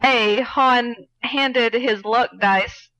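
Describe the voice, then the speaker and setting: A woman with a slightly high, very bright voice co-hosts a podcast. Her delivery is casual and playful, like relaxed banter between co-hosts. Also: extremely slow, dull audio. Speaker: podcast co-host.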